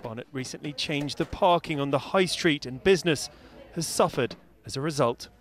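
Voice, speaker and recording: A man's voice: fairly high pitch, moderate pace. A man with a British accent narrating a scripted news report as the reporter.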